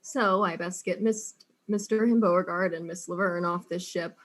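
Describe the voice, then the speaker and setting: A woman playing a brisk, wry, world-weary detective in a comedic audio drama. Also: lowish voice, fairly even intonation, natural voice.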